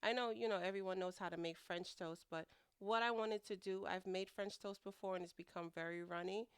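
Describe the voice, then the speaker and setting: A woman's voice: medium pitch, steady tone, a little fast, smooth, dry recording, studio quality. A middle-aged woman narrating her home cooking in a chatty, rambling way, sounding nasal from a head cold. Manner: chatty, rambling, laughs at herself. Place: home kitchen.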